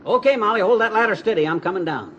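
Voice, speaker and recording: A boastful middle-aged husband in a 1950s radio comedy. His voice sits high and leaps around excitably, full of folksy bluster and old-fashioned exclamations. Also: fast, lo-fi sound, faint music behind. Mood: eager.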